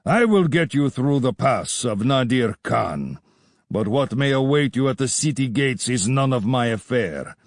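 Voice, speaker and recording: A man voicing a gruff, suspicious Afghan bandit chief with a bearded, growling manner. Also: mid-range voice, lively intonation, measured pace, no echo, studio quality. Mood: curt. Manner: growling, curt.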